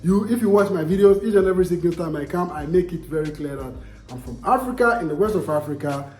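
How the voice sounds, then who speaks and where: A man's voice: a little high, expressive, fast, darkish tone, roomy sound, soft background music. A young Ghanaian man with a Ghanaian accent, vlogging casually and answering viewer comments in an emphatic way, repeating himself and asking rhetorical questions.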